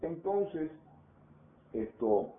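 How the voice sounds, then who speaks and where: An older man with a Cuban Spanish accent, recalling his school days in an oral history interview, animated and trailing off into quieter asides. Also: fairly high pitch, drawn-out words. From Cuba. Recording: tinny phone-like audio.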